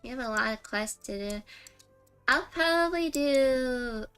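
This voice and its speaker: A woman's voice: sits high, animated, measured pace, fairly bright tone, smooth, faint music behind. A woman livestreaming a game with casual chat, gushing and giggly as she reacts aloud. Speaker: streamer.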